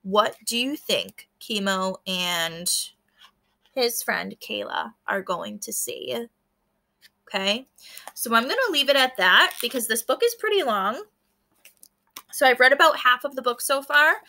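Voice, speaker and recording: A woman's voice: fairly high pitch, animated, unhurried, creaky, pushed and bright. A woman schoolteacher reading a children's book aloud and explaining it directly to her pupils. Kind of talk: children's read-aloud with teaching commentary.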